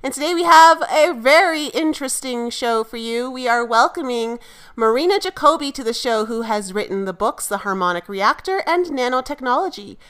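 A woman's voice: on the high side, expressive, moderate pace, fairly bright tone, smooth. A woman co-hosting a podcast, introducing the episode and her guest in a bubbly, upbeat way and laughing easily. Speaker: podcast co-host.

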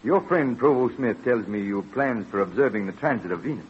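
An actor playing a courtly, admiring colonial statesman in an old-time radio drama, speaking in a formal, measured way. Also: mid-range voice, lively intonation, slightly rough. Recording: dull, faintly noisy vintage radio recording.

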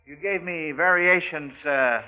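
A man's voice: fairly high pitch, measured pace. An American man hosting a 1940s radio quiz show, chatty and encouraging toward the children taking part. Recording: old narrow-band radio recording.

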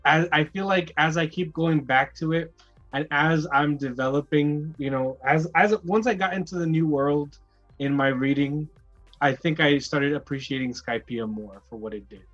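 A man's voice: fairly high pitch, normal speed, fairly bright tone, soft background music. Reflective man on a muffled mic. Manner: reflective, rambling, many fillers and restarts. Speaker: podcast co-host. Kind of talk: casual podcast chat.

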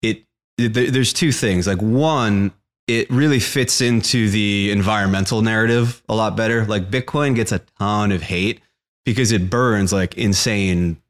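A man's voice: lowish voice, measured pace. A young man co-hosting a podcast, explaining crypto in a casual chat, often checking in with "right?".

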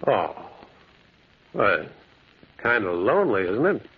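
A brooding loner narrating an old radio tale. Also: male voice, on the low side, sing-song, slow pace, husky, heavily acted. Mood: eager, puzzled. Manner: brooding, dramatic storytelling. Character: lonely man living alone by the railroad tracks. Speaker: narrator and lead character. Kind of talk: 1940s radio drama narration. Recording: lo-fi old radio recording with faint hiss.

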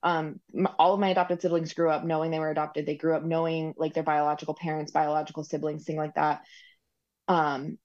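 A woman guest speaks at length in a relaxed podcast interview, her voice low for a woman. She rambles along with frequent ums and likes. The audio is dull and lo-fi. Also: a little fast. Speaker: guest, recipient parent. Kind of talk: conversational interview.

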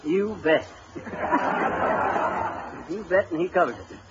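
Give this to a bumbling, bragging husband from a vintage radio comedy. His pitch sits high and leaps about animatedly as he spins folksy yarns in mangled grammar. The old recording is muffled. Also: expressive, drawn-out words, a loud crowd, heavy background noise, heavily acted. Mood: boastful storytelling. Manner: folksy, bungling, chuckling braggart. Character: folksy blustering husband telling tall tales, grammar-mangling bluffer.

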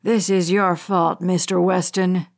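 A woman voicing a menacing male hijacker who speaks in a low, cold, threatening way. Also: level tone, unhurried, vocal fry.

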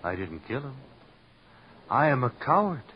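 A theatrical, cowardly musician. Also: male voice, medium pitch, very animated, normal speed, a little hiss or hum. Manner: florid and affected, then frantic with terror on the phone. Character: theatrical, nervous male musician, a self-declared coward. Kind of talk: 1940s radio acting. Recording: dull old radio recording.

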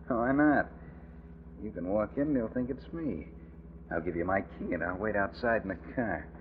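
An actor playing a sly, vengeful hired killer in a 1940s radio drama. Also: male voice, on the low side, measured pace, pauses often, phone-quality sound, close to an everyday voice. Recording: thin, noisy old radio recording.

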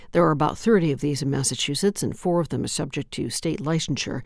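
A woman hosting a local radio newscast, reading stories in a steady, measured way. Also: sits low, dry recording, studio quality. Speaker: local news host. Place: radio studio.

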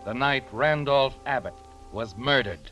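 A man's voice: medium pitch, a little slow, lo-fi sound, lightly acted. An actor playing a sinister, all-knowing male mystery narrator, ominous and taunting in old radio style. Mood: sly taunting. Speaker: story narrator. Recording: old radio recording with music behind.

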